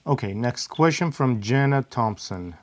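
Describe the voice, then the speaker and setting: A middle-aged man hosts a relaxed question-and-answer session, reading viewer questions aloud in a plain, easygoing way. He pauses often on "uh" as he talks. His voice sits at a middling pitch and is recorded dry, with no room sound. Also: no room sound. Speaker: host, father of the bassist.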